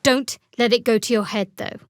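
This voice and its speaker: A woman playing a guarded, devout young cleric in a video game, with a measured, dry and wry delivery. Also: on the high side, steady tone, no room sound, natural voice. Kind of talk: video game character voice lines.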